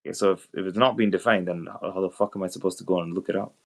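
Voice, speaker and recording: A man arguing on an online call, rapid and exasperated, swearing and full of fillers. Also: low-pitched, steady tone, vocal fry. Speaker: debater on a call. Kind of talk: heated online debate.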